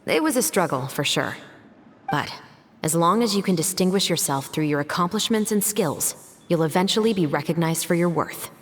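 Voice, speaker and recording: Woman as a low-voiced, composed female investigator. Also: lively intonation, a little hiss or hum, lightly acted. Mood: confident, reflective. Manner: measured, reasoning, articulate. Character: composed, serious female investigator, a patrol captain. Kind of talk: video game voice acting.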